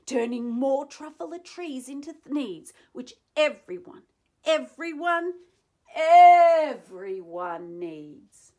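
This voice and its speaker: Woman as a yelling, greedy male factory boss. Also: high voice, lively intonation, slow pace, pitched above the performer's own voice. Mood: defiant boasting. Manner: yelling, defiant, emphatic. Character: angry, boastful, greedy male factory owner.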